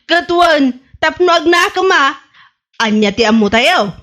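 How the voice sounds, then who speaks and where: A woman's voice: high voice, animated, unhurried, fairly bright tone. A woman with an Ilocano Filipino accent playing an amused, gossipy village wife in a comedy radio drama.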